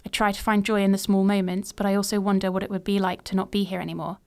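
A woman in her late twenties reads her own story in the present tense, with a smooth, clear voice. Her telling is measured and reflective, and her pitch sits in the middle range. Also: little pitch movement, darkish tone. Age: 20s. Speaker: podcast host. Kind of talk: reading a personal story aloud.

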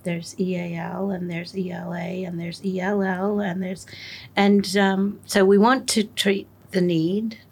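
A woman interviewer reflecting and asking questions in a thoughtful, halting way, often restarting her sentences. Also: fairly low pitch, level tone, a little mellow, slightly rough.

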